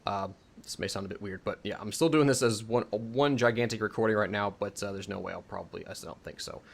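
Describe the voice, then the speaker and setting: A man's voice: lowish voice, fast talker, creaky. Casual, rambling man giving a game tutorial. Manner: informal, rambling, hedging and self-correcting. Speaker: video host and mod creator demonstrating a game mod.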